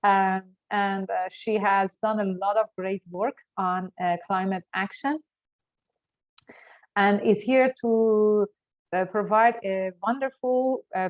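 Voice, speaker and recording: A woman moderating an online workshop, friendly and welcoming, with many filled pauses. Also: mid-range voice, darkish tone.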